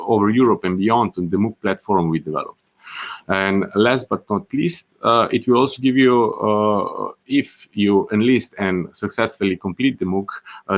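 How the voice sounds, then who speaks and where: Low-voiced man hosting a webinar, hesitant. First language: not Greek. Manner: hesitant, many "uh" fillers and restarts. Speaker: webinar moderator. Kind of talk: presenting an online course webinar.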